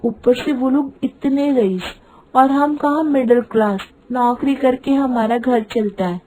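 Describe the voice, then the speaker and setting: A woman's voice: high voice, measured pace, warm tone, voice pushed up, low-quality recording. A woman voicing a practical young daughter-in-law, polite and hesitant yet frank, in a cartoon story. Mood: hesitant objection. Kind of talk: acted cartoon story dialogue.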